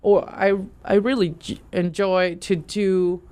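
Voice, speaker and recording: Smooth-voiced woman, hesitant. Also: fairly low pitch, little pitch movement, slow, a little mellow. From Venezuela. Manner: hesitant, searching for words, many restarts. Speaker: guest, nonprofit art director. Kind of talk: casual interview talk.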